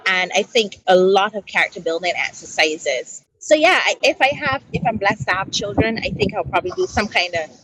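A woman's voice: mid-range voice, animated, a little fast, hardly any pauses, a bit bright, slight background noise, rough recording. A woman in her thirties from Barbados, a podcast guest telling earnest stories about her childhood, recorded outdoors by the ocean. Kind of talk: relaxed interview chat. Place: outdoors by the sea.

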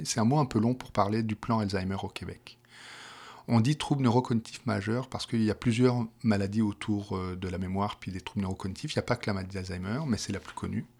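A middle-aged man from France with a Parisian accent, explaining his work in an interview, hesitant with many restarts. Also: lowish voice. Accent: Parisian French. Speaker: project manager for a provincial health plan.

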